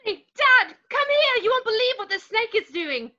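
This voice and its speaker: A woman's voice: very high-pitched, very animated, moderate pace, sharp tone, full character voice. A woman playing a spoiled, whiny young boy in an amateur table read, on a muffled home recording. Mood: demanding, outraged.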